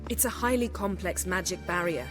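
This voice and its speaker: An actress playing a proud, commanding, sardonic sorceress in a video game, with formal and imperious phrasing. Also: female voice, on the low side, moderate pace, music in the background, lightly acted. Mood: cool, sardonic. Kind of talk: video game dialogue acting.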